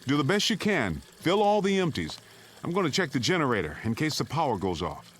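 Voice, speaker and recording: A man's voice: medium pitch, big pitch swings, fairly quick, a bit bright, a little hiss or hum. An American man acting in a radio drama as an urgent, middle-aged father who takes charge and steadies his family. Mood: urgent, commanding.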